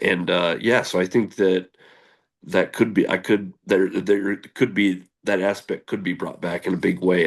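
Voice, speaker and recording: Deep gravelly man, rambling interview guest. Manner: rambling with many fillers and restarts; candid, earnest. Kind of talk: casual interview chat.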